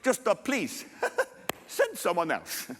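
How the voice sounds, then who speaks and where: A man's voice: high-pitched, huge pitch swings, slow pace, fairly bright tone, in a large hall, a little hiss or hum, exaggerated voice. Man doing a stammering Moses with a Mexican accent. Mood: nervous. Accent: Mexican Spanish. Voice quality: speech impediment, stammering.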